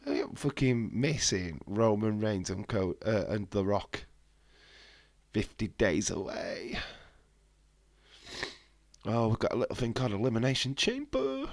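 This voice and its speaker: A man's voice: lowish voice, sing-song, measured pace, creaky, pauses often, dry recording. A man with a head cold, chatting casually and bluntly on a live stream, swearing freely and answering viewers' messages. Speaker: wrestling watch-along streamer.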